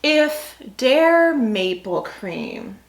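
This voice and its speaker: A woman's voice: high voice, sing-song, very slow, vocal fry. A woman, co-hosting a snack review and chatting casually in a rambling way. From Midwestern United States. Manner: chatty and rambling, with fillers and asides. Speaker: food review channel co-host. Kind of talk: casual chat while tasting snacks. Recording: echoey room.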